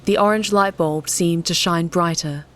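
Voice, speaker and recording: A woman's voice: on the low side, steady tone, moderate pace. A woman reading scripted story narration as an audiobook narrator. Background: soft music.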